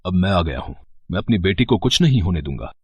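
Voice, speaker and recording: A man voicing a worried, wealthy father in an animated story, speaking earnestly and reassuringly with a husky voice. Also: deep voice, quick pace, dry recording, lo-fi sound, exaggerated voice.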